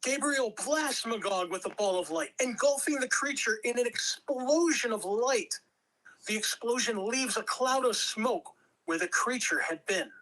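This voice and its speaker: A man's voice: very high voice, measured pace, bright, forceful tone, poor audio. A man reading stage directions as narrator, in scripted dramatic narration. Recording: amateur home recording.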